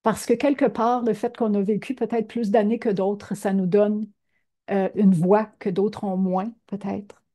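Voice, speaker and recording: A woman's voice: mid-range voice, little pitch movement, unhurried, mellow. An older woman, giving an earnest talk to seniors with many fillers. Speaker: presenter.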